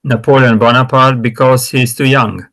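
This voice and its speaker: Adult male learner, first language Romanian, halting and searching for words on a video call. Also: mid-range voice, fairly even intonation, fairly bright tone. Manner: hesitant, many restarts and fillers. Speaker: student. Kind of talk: practicing English in a lesson.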